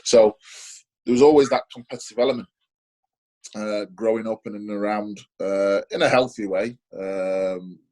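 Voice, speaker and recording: A man's voice: fairly low pitch, steady tone, normal speed, mellow, poor audio. A man in his forties with a Sheffield accent, answering interview questions hesitantly, with lots of fillers and restarts. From Sheffield, England. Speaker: interview guest, former footballer.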